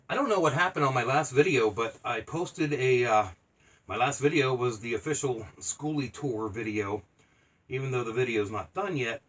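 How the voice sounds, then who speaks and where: A man's voice: medium pitch, fairly bright tone. A man chatting casually and unscripted as a vlogger, in a plainspoken, offhand way. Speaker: vlogger documenting a bus build. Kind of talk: casual unscripted vlog chat.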